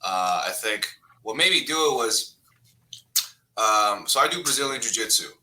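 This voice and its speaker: A low-pitched man in his thirties with a very bright, forceful edge to his voice. He chats as a podcast guest, animated and profane, jumping up into loud bursts when he tells a story. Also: moderate pace, poor audio. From China. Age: 30s. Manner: animated, cursing, bursts into loud higher voice when telling stories. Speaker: guest comedian. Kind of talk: casual podcast interview.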